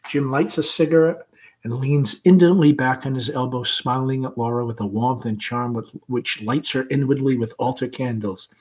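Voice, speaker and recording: A man's voice: mid-range voice, steady tone, moderate pace, a little mellow, rough recording. A man reading stage directions aloud in an informal way, now and then correcting himself.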